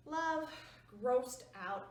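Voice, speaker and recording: A woman's voice: sits high, animated, very slow, slight background noise, heavily acted. Woman reading as a pleading little boy. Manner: pleading, earnest. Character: eager young boy writing pleading letters. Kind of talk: picture book read-aloud. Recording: roomy sound from a distance.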